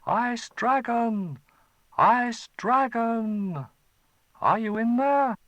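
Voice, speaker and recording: A man's voice: sits high, animated, slow pace, no room sound, highs cut off, voice pushed up. A man voicing a small, brave boy who is anxious but plucky in a storybook. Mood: eager.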